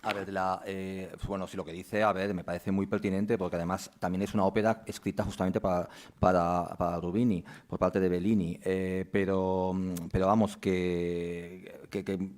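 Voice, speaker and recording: Guy with a deep mellow voice walking reporters through the season lineup. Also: flat delivery, moderate pace. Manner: explanatory, restarts and fillers. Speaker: theatre artistic director presenting a season. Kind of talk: press conference presentation.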